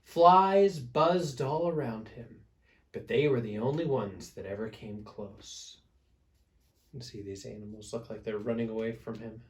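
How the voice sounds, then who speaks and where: A man reading a picture book aloud to children as a storyteller, with dramatic emphasis. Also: mid-range voice. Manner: dramatic emphasis, pauses to prompt listeners.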